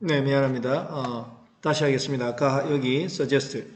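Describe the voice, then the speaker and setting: A man's voice: medium pitch, fairly even intonation, measured pace, slight background noise. A man teaching an English reading lesson, explaining line by line and putting questions to students that he answers himself. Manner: didactic, asks rhetorical questions and answers them. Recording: roomy, echoing sound.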